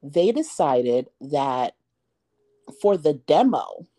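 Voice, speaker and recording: A woman's voice: sits low, very animated, extremely slow, mellow. A woman in her thirties co-hosting a casual talk podcast, making her points emphatically with rhetorical questions. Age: 30s. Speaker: podcast co-host. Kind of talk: casual chat.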